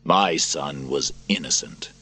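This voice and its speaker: A man doing a grim, husky enslaved gladiator. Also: sharp tone, soft background music, heavily acted. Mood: bitter. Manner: low, slow, grim. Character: bitter enslaved male gladiator, grim and weary.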